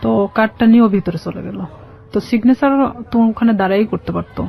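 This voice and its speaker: A woman with a Bangladeshi accent telling a personal story as a radio guest, halting and repeating phrases as she explains. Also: medium pitch, gravelly. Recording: slightly dull, lo-fi radio sound.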